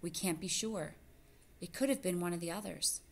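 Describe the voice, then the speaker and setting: Woman as a calm, careful mother mouse. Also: on the low side, vocal fry. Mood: measured, reasoning. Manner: measured, gently reasoning.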